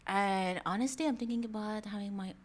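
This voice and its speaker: A middle-aged woman from Iran with a thick Iranian accent, chatting casually as a podcast guest, animated and quick to laugh. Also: mid-range voice. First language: Persian. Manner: animated, laughs often, rambling self-corrections. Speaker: mortgage loan officer guest.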